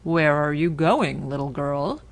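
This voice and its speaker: A woman's voice: sits low, measured pace. Woman playing a sly, hungry storybook wolf. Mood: sly, scheming. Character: big wicked hungry wolf, sly and coaxing.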